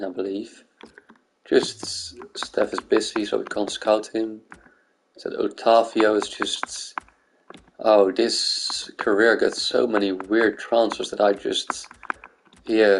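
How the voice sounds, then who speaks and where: A man's voice: fairly low pitch, slight background noise, poor audio. A man from Greece making a gaming video, thinking aloud in casual commentary.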